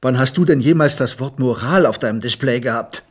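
An actor playing a blunt, passionate technician in a science-fiction radio play, with a rough, husky voice. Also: male voice, mid-range voice, fairly quick. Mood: indignant. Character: blunt, passionate, impulsive male virtual-reality technician. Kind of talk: German radio play acting.

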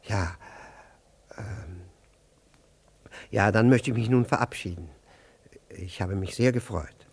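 An actor in a German radio play playing a pompous, easily offended older gentleman with a husky voice and a formal, stammering manner. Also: on the low side, slow pace, pauses often, heavily acted. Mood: awkwardly polite. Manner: formal, indignant, stammering, fussily polite.

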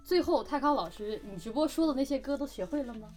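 A woman with a slightly high voice hosts a casual interview at a quick clip. Her intonation is lively, and music plays clearly behind her. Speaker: host, production team member.

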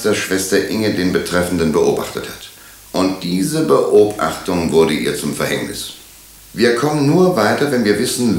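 An actor playing a courteous, methodical police inspector who questions suspects formally in a radio drama. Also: male voice, deep voice. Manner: formal, probing, deliberate. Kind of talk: German radio drama acting. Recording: roomy, echoing radio drama studio.

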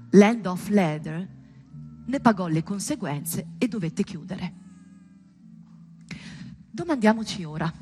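A woman delivering a theatrical monologue as a stage performer, in a dramatic, deliberate and emphatic manner. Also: medium pitch, husky.

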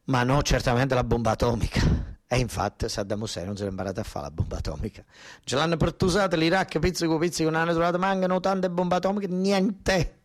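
A man's voice: on the high side, fairly quick. A man with a southern Italian accent from Basilicata performs a theatrical first-person monologue in colloquial, dialect-tinged speech, repeating himself for emphasis as if explaining things to his family. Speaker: stage actor performing a narrative monologue.

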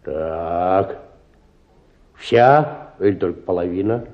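An actor playing a pompous village medical assistant in a radio drama, giving instructions self-importantly. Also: male voice, sits low, expressive, takes it slowly, dull audio, full character voice. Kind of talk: Russian radio drama acting.

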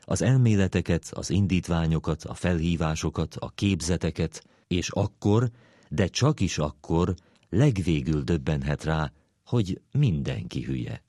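A man reads an essay aloud with measured care. His voice is very deep and dark, recorded dry and close, suited to wry philosophical prose. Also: lively intonation, no echo. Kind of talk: dramatic reading of a humorous philosophical essay.

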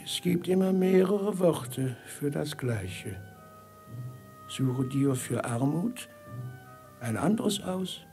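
An actor in a German radio play playing a poor, knowing sage who speaks in measured, cryptic riddles. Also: male voice, a little high, expressive, creaky, dark tone, faint music behind, exaggerated voice. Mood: cryptic, musing.

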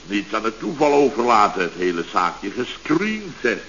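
A man's voice: fairly low pitch, sing-song, moderate pace, fairly bright tone. An actor playing a bumbling, self-important male contractor boss in a radio comedy, stammering and repeating himself as he gives orders. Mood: pompous, plotting. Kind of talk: 1970s Dutch radio comedy serial acting. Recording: dull, noisy vintage radio recording.

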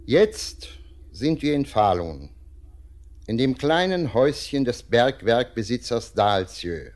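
Slow, swooping dramatic radio narrator. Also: male voice, mid-range voice, big pitch swings, darkish tone. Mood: evocative, dramatic. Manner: deliberate, theatrical, swooping delivery. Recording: 1949 radio recording.

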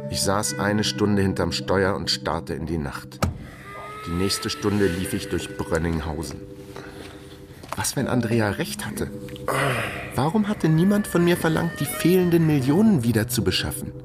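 Wry, low-voiced brooding detective. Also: male voice, animated, measured pace, music in the background. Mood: bitter. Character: wry, brooding down-on-his-luck male detective. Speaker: narrator. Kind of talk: scripted first-person crime radio drama narration.

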